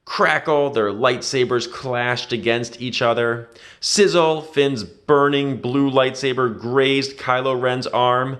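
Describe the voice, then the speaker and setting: A man's voice: mid-range voice, fairly bright tone. A man reading a children's adventure story aloud with dramatic, emphatic storytelling. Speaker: storybook reader.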